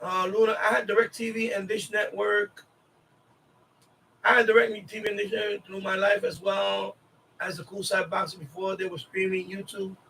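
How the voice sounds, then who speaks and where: High-pitched man chatting on a livestream. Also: vocal fry, pushed and bright, a little hiss or hum, low-quality recording. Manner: rambling, repeats himself. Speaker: livestream host. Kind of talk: casual live chat with viewers.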